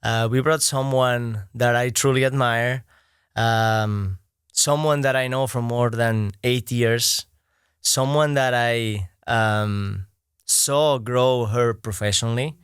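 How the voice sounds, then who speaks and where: A man hosts a relaxed interview, speaking with admiration and with frequent ums and uhs as he gathers his thoughts. His voice is smooth and resonant at a middle pitch, recorded dry and close. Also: slow, dry recording. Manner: warm, admiring, hesitant with many ums. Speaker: interviewer, host. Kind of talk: casual interview talk.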